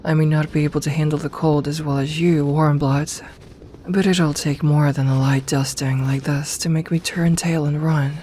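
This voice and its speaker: A woman's voice: low voice, steady tone, mellow, close to an everyday voice. Woman acting a regal, commanding serpent queen, ambient noise. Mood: wry, proud. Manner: regal, formal, measured speech. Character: imperious monstrous serpent queen, commanding yet tender toward companion. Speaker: voice actor in audio roleplay. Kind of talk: ASMR roleplay acting.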